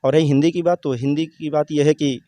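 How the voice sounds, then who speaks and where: An older man, a Hindi teacher and poet, explaining in a measured way and reciting verse slowly in a declaiming manner. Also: fairly high pitch, steady tone, dark tone. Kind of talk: interview with poetry recitation.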